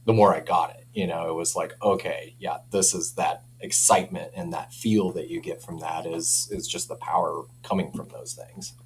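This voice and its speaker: A man's voice: low voice, fairly even intonation, normal speed, hardly any pauses, slight background noise, low-quality recording. A man speaking informally as a company representative in a casual product chat, often framing things as personal opinion. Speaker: audio company representative and interview guest.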